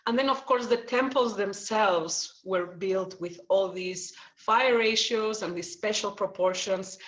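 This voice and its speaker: A middle-aged woman from Greece answers an interviewer's question, explaining her ideas at length. She speaks thoughtfully, pausing with fillers and restarts as she works through each point. Her voice sits at an ordinary pitch for a woman. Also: low-quality recording. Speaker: interview guest.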